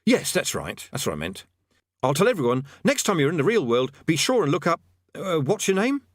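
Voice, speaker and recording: A man voicing a cowardly, nervous male wizard who talks in a hurried, sarcastic, evasive way. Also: on the high side, huge pitch swings, no room sound, clean studio sound, voice pushed up. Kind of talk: audiobook character voice.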